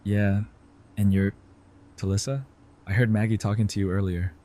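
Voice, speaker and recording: A guilt-ridden young ER doctor answers quietly and guardedly, played by a man. His voice sits a little low. This is a scene from a fan audio drama. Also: fairly even intonation, moderate pace, close to an everyday voice. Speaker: former ER resident.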